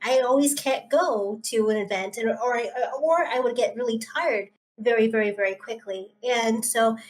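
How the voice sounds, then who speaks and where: A woman from Canada speaks in a thoughtful, hesitant way on a podcast. Her voice sits a little high. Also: normal speed, low-quality recording. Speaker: podcast co-host, journalist. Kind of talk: casual podcast chat.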